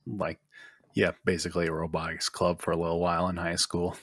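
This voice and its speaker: A man with a low, husky voice chats casually as a podcast panelist. He sounds close and dry, with no room around him. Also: monotone, brisk, fairly bright tone, no room sound.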